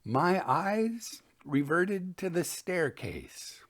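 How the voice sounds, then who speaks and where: A man hosting and reading Victorian ghost stories in a measured, expressive storytelling manner. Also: high-pitched. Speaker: podcast host and reader of ghost stories.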